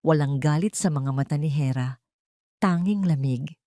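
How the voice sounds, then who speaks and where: A woman's voice: low-pitched, normal speed, dark tone, dry recording, studio quality. A woman narrator reading a scripted story in a dramatic, suspenseful way.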